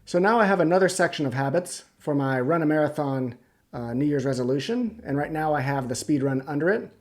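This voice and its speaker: A man presenting a step-by-step software tutorial, explaining things methodically as he goes. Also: a little high, animated, fairly quick. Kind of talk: step-by-step screen tutorial narration. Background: background music.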